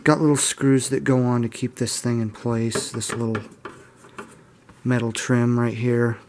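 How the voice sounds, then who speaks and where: Male hobbyist host, early twenties. Mid-range pitch, informal and unscripted, readily admits what he doesn't know. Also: flat delivery, unhurried, noisy background. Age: early 20s. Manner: unscripted, unsure, self-deprecating. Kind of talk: casual informal show-and-tell.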